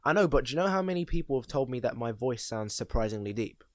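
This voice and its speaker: A man's voice: medium pitch, fast talker, no echo. A young man co-hosting a podcast, chatting casually and arguing his point with slang. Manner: animated, argumentative, slangy. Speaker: podcast co-host. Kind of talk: casual chat.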